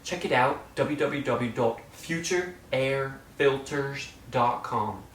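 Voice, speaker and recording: A man hosting his own channel, chatting through a casual update in a playful, theatrical way that jumps between loud and quiet. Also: medium pitch, slow. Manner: slips into a put-on British-style accent at times. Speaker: channel host. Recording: roomy, distant mic, faint room noise.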